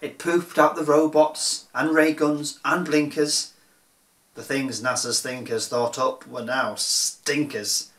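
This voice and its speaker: A man reading a rhyming children's picture book aloud in a theatrical, deliberate manner. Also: mid-range voice, level tone, fairly bright tone, echoey room. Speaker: children's storyteller.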